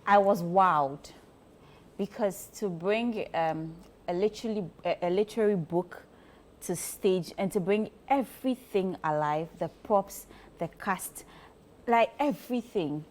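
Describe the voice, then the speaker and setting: A woman's voice: medium pitch, a little slow. A woman with a Ghanaian accent chatting casually as a television interviewer. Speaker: TV interviewer, co-host. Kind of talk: casual chat.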